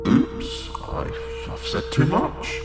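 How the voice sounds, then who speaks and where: A sadistic supernatural villain in an audio drama, his voice drenched in echo over loud music. He swoops wildly in pitch as he taunts with mock politeness, savouring his cruelty. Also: wildly swooping pitch, drawn-out words, exaggerated voice. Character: gloating, sadistic otherworldly villain who feeds on mortals. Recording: echoing voice under loud music.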